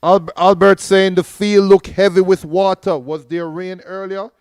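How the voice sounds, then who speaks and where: A man's voice: sits high, flat delivery, a little slow, a little mellow, smooth, no room sound, clean studio sound. A man co-hosting a football watch-along, reading viewer comments aloud and stammering on his first words. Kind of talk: casual watch-along banter.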